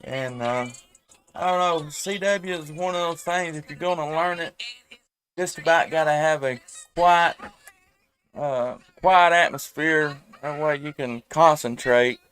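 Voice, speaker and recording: A man's voice: a little high, a little slow, fairly bright tone, clean tone, no echo. A man, chatting casually and rambling as a ham radio operator on a headset microphone. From United States. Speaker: amateur radio operator and live stream host. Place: at home indoors.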